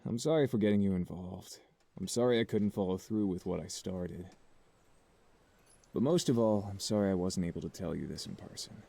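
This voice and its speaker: An actor playing a middle-aged scientist father in a game, solemnly confessing to his son in measured, remorseful speech. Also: low voice, creaky, warm tone. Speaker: game character in recorded message. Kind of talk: dramatic visual novel acting.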